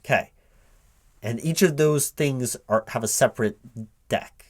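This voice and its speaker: Male, mid-pitched, for spoken ASMR roleplay as a recruiting manager; improvised, hesitant, confused questioning. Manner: halting, puzzled, repeating things back as questions. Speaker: performer playing a recruiting manager interviewing a job applicant.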